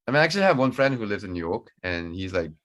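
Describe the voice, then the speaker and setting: A young adult man from Korea with a British-tinged Korean accent, chatting casually as co-host, halting and restarting often. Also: lowish voice, big pitch swings, a bit bright. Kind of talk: casual video-call chat.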